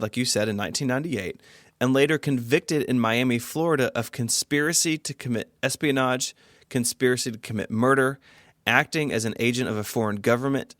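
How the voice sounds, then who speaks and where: A man's voice: mid-range voice, a bit bright, no room sound. A man co-hosting a podcast, moving between scripted narration and casual conversational talk on a close mic. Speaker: podcast co-host.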